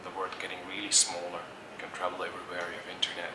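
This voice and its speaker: A man's voice: low-pitched, completely flat delivery, rapid-fire. A middle-aged man from Germany answers interview questions thoughtfully, hesitating and restarting often. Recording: echoey, distant mic, noisy background.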